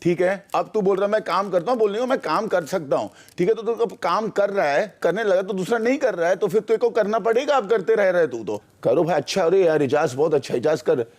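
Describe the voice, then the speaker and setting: A woman's voice: on the low side, fairly quick, few pauses, a little mellow. A woman teasing and lecturing a housemate in casual talk. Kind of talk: casual conversation.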